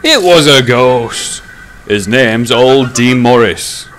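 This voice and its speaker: A man's voice: low-pitched, animated, moderate pace, a bit bright, soft background music. A man voicing a nervous, earnest male locomotive in a children's story, easily frightened and indignant. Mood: indignant, distressed. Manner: stammering when shocked, indignant.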